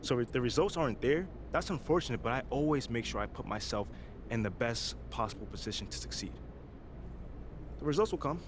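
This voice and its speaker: A man's voice: medium pitch, animated, a little fast, noisy background, lightly acted. A man playing a laid-back rookie baller. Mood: composed. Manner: casual, laid-back, agreeable. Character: young male rookie pro basketball player, easygoing. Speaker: rookie basketball player. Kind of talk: video game cutscene acting.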